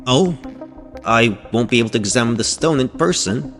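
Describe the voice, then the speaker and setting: A man's voice: mid-range voice, expressive, normal speed, fairly bright tone, faint music behind. A man playing an eager, courteous physicist in a scripted audio drama, speaking formally and politely. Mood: curious, eager. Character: eager, courteous male physics scientist newly arrived.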